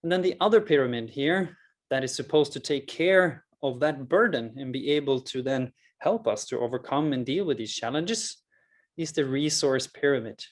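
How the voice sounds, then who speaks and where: A man in his thirties from rural Norway, speaking English with a Norwegian accent as he gives a personal, earnest presentation with deliberate, emphatic pauses. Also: medium pitch, lively intonation. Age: 30s. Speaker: public speaker, mental health project founder.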